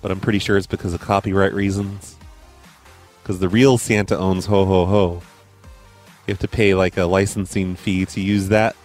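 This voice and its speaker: A man doing prank phone calls as a mall Santa, in a deadpan, crude, mock-serious way. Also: low voice, normal speed, soft background music. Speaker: prank call host.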